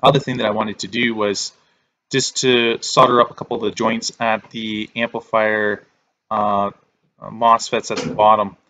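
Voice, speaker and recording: A man giving step-by-step instructional narration as a repair technician, in a halting, plain-spoken way. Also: lowish voice, little pitch movement, sharp tone. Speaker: repair technician presenting a tutorial.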